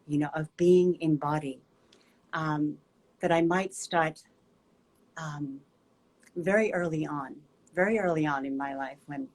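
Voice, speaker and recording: A woman, a guest movement teacher telling a personal story in a gentle, reflective way with many pauses. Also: low-pitched, poor audio. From Japan.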